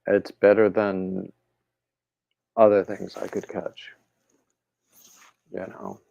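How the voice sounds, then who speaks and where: Male stream host, unhurried and rambling, gravelly lower voice, a bit congested, dull home-mic sound. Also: no room sound. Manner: rambling, relaxed, reads viewer comments aloud. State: slightly congested throat. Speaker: livestream host. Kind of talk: casual chat with live viewers.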